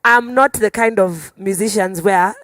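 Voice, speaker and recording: A woman with a Kenyan accent talking casually as a musician guest on a panel, telling stories in an animated way. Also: mid-range voice, unhurried, dry recording. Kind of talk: casual conversational panel talk.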